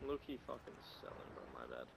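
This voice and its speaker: A man's voice: low-pitched, wildly swooping pitch, quick pace, gravelly, breathy edge. A man on open game voice chat, casual and a bit boastful. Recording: loud background noise.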